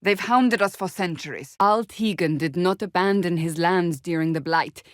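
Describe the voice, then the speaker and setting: A woman's voice: on the low side, moderate pace, no echo, clean studio sound, natural voice. A woman playing a stern, devout, proud warrior in a fantasy video game, speaking in a formal, clipped and earnest manner. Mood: serious.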